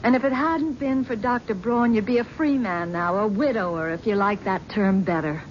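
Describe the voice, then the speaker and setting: An actress playing a devoted, pleading wife. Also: female voice, medium pitch, normal speed, natural voice. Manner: pleading, tender. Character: devoted, wounded wife, middle-aged. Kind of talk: 1970s radio drama acting. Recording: dull old radio broadcast with faint hiss.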